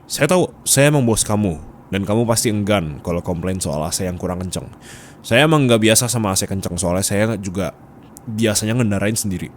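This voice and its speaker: A man's voice: lowish voice, natural voice. A man playing a bossy, nagging office boss in an ASMR roleplay, scolding and teasing bluntly in colloquial speech with a Jakarta Indonesian accent. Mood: exasperated, then calmer, conceding. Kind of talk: intimate ASMR roleplay acting. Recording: steady background ambience noise.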